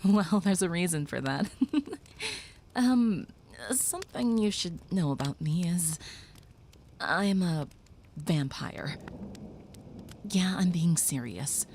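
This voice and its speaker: A woman voicing a gentle, protective young female vampire in an audio roleplay, speaking softly and reassuringly, sometimes whispering. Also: on the low side, lively intonation, slow, pauses often, slight background noise.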